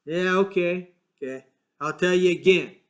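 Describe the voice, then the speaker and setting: A man voicing a small, irritable ranch hand who talks gruffly, swears and sounds fed up. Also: high-pitched, a little slow, voice pushed up. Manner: gruff, exasperated, swearing, rough rural speech.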